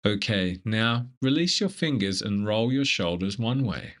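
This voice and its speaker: A man leading a scripted guided meditation in a gentle, measured, instructive manner. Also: lowish voice, animated.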